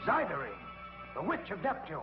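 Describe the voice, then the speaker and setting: An actor playing an earnest, indignant space commander in a 1950s TV drama, asking urgent questions with emphasis. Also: male voice, sits high, wildly swooping pitch, normal speed, heavily acted. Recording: dull 1950s TV soundtrack with music.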